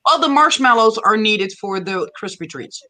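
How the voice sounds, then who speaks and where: A woman's voice: medium pitch, lively intonation, moderate pace, sharp tone. A woman co-hosting a livestream in casual chat over a video call. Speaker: livestream co-host.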